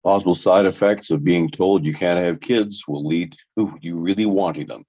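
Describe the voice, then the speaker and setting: A man's voice: low voice, normal speed, a little mellow, no room sound. A man playing a brooding, bitter young man at a drama table read, on a dull microphone. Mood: bitter, resigned.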